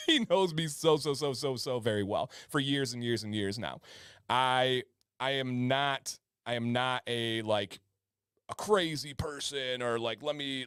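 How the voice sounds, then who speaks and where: A man's voice: mid-range voice, clear, resonant tone, no room sound, studio quality. Chatty man hosting a live stream. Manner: chatty, repeats words and restarts often. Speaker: host. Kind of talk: live-stream casual chat.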